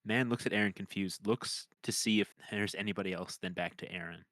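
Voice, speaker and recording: A man's voice: fairly low pitch, brisk, fairly bright tone, dry recording. A man narrating the stage directions of a comedy screenplay in a scripted read.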